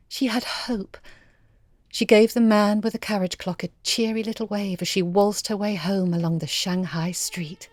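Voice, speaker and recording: A woman narrating a literary short story expressively, in measured, evocative phrases with hushed moments. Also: medium pitch, no room sound, clean studio sound. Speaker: story reader.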